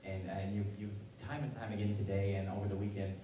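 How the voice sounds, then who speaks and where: A man's voice: fairly low pitch, flat delivery, fast, dark tone, a little hiss or hum. A man moderating a convention panel who speaks in an earnest, grateful way. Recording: echoey hall from far off.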